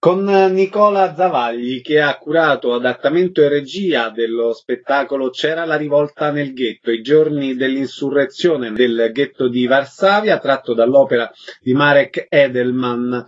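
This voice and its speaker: A male radio host presents an interview in a mid-pitched voice. The recording is a little dull and lo-fi.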